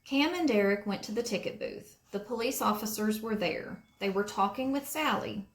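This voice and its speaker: A woman reading a children's storybook aloud in a deliberate, expressive storytelling manner. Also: medium pitch. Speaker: storybook reader. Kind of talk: read-aloud narration for children. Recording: roomy, echoing sound.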